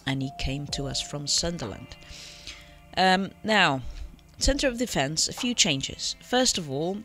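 A man's voice: on the high side, lively intonation, measured pace, pushed and bright. A man with a cold, chatting casually through a football management game run with many fillers and self-corrections. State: has a cold. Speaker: game channel host. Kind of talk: casual gameplay commentary. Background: faint background music.

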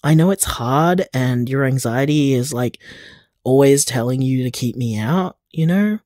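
A man playing a loving, supportive boyfriend in an audio drama, speaking earnestly and haltingly, with casual tenderness. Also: mid-range voice, a little mellow, no room sound, clean studio sound, lightly acted. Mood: tender, loving. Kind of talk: audio drama voicemail acting.

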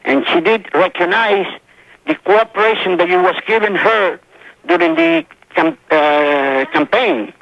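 A man with a Cuban Spanish accent speaks formally and earnestly over a phone line. His voice sits high with a gravelly edge, dry and muffled by AM radio. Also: very animated, a little slow, no echo. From Cuba. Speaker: caller. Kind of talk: phone-in call.

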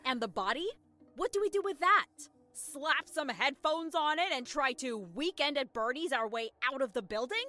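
A woman's voice: high-pitched, expressive, moderate pace, bright, forceful tone, soft background music. An actress playing a reluctant, conscientious woman caught up in a robbery, in an audio drama. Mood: tense.

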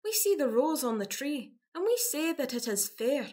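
A woman's voice: sits high, very animated, normal speed, dark tone. A woman playing a vain, guileless young village lass with a Scottish accent in a farcical radio play. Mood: self-admiring.

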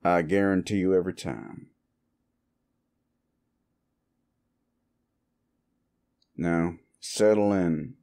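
A man playing a gruff, world-weary cowboy bounty hunter in a Western audio roleplay, with a folksy, threatening yet wry manner. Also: low voice, normal speed, a little mellow. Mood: wary, firm. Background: guitar music.

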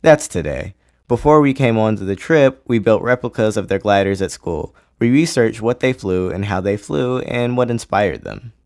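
A man's voice: fairly low pitch, lively intonation, moderate pace, a little mellow, dry recording, studio quality. A teenage boy, a student, giving casual answers in an interview. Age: teens.

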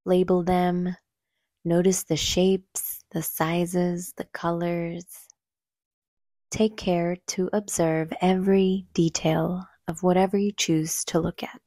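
A woman leading a guided meditation in a soothing, gentle, unhurried manner, with soft breathy phrase endings. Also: lowish voice, level tone, no echo, studio quality. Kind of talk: calm guided meditation narration.

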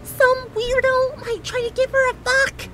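A woman voicing a bubbly, oblivious young female cartoon pony, excitable and chirpy. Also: very high-pitched, a little slow, background noise, pitched above the performer's own voice. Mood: cheerful, oblivious.

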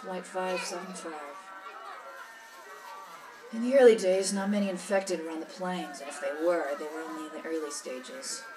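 Actress as cynical female smuggler, very low voice, matter-of-fact, worldly, audio drama, slightly distant, music underneath. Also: fairly even intonation, normal speed, lightly acted. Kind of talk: audio drama interview monologue.

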